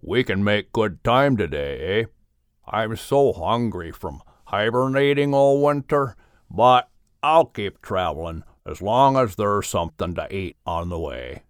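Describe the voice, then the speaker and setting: A man's voice: on the low side, lively intonation, unhurried, clear, resonant tone, dry recording, clean studio sound, voice pushed down. A man voicing a big, easygoing, hungry bear with a folksy drawl and a hearty, encouraging manner in an audiobook. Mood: hungry, keen. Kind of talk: audiobook character voice.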